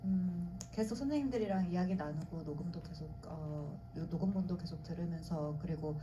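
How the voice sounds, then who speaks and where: A woman actress speaking at a cinema stage Q&A, measured and thoughtful, pausing often with fillers. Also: fairly low pitch, dull audio, noisy background. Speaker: actress at a screening Q&A. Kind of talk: reflective panel talk.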